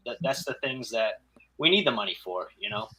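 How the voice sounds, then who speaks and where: A man's voice: mid-range voice, expressive, brisk, pushed and bright, low-quality recording. A man chatting casually as a filmmaker guest, often repeating words as he goes. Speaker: independent filmmaker, podcast guest. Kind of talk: casual chat.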